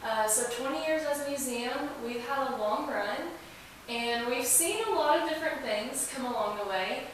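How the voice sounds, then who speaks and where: A woman speaks as an informal, enthusiastic guest in an interview. Her voice sits on the high side, heard a bit far from the mic in a heavily reverberant room. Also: normal speed. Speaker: interview guest, museum staffer. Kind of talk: conversational interview answers. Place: indoors, echoey room. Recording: echoey room, distant mic, hiss.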